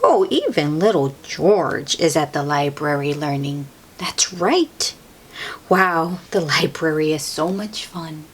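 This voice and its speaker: A woman's voice: deep voice, expressive, takes it slowly, slight background noise. A woman reading a picture book aloud to young children, exclaiming and adding encouraging asides addressed straight to them.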